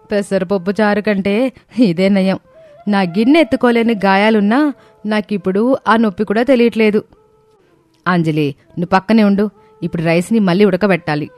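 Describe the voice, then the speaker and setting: A woman's voice: mid-range voice, darkish tone. A woman reading the lines of a family story, voicing the daughters-in-law and the mother-in-law in turn. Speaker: storyteller voicing all characters.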